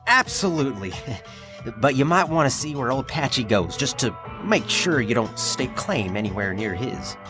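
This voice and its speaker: An actor playing a wily, guarded American frontier shopkeeper in an audio drama, folksy in manner. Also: male voice, mid-range voice, big pitch swings, normal speed, close to an everyday voice. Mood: sly. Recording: music clearly behind the voice.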